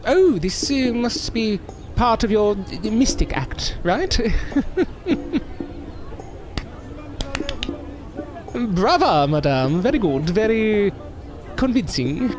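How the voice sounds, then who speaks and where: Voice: mid-range voice, very animated, slow, music in the background. A performer playing a proud but polite circus newcomer in an audio drama, with an earnest, formal and sometimes hesitant manner. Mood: wry.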